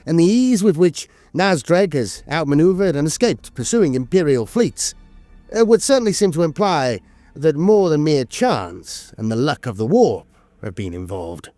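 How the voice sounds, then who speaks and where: A man's voice: fairly high pitch, darkish tone, no room sound. A man reading scripted fantasy lore in a storyteller's delivery, as a narrator. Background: music.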